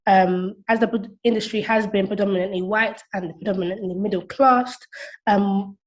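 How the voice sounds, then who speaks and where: A woman's voice: mid-range voice, fairly even intonation, moderate pace. A woman in her twenties giving explanatory answers as an interview guest on a remote call. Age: 20s. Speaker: interview guest, platform founder.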